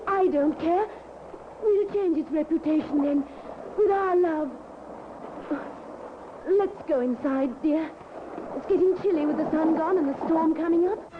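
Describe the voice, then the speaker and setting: An actress playing a clinging, lovesick young mistress in an emotional, pleading 1950s radio drama style. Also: female voice, extremely high pitch, measured pace, pauses often. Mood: tender. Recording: muffled, noisy 1950s radio recording.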